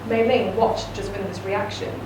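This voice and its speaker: A woman's voice: medium pitch, fairly even intonation, moderate pace. Woman reading a story in an echoey room. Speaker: reader. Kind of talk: reading a short story aloud. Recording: echoey room, distant mic, noisy.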